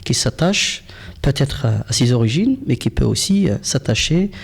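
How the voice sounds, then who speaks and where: Gravelly, husky male interviewer. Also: medium pitch, very animated, moderate pace. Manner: frequent murmured agreement, searching phrasing. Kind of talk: interview questions and backchannel. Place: TV studio.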